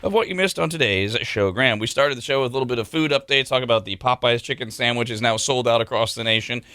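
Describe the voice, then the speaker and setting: A man's voice: mid-range voice, fast, sharp tone, clean tone, no room sound. A man hosting a morning radio show, reading listener emails in a joking, teasing, rambling way. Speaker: radio host.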